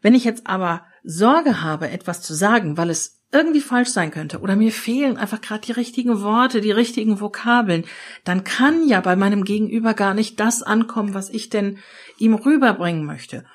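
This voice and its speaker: A middle-aged German woman hosting a solo podcast, explaining ideas in a lively coaching manner and speaking straight to the listener. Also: mid-range voice, moderate pace. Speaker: podcast host and change consultant.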